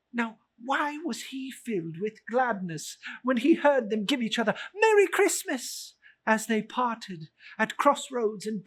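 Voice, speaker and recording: Audiobook narrator for classic fiction: unhurried, slightly rough-edged voice, expressive and theatrical. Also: medium pitch. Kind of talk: dramatic audiobook reading of a classic story.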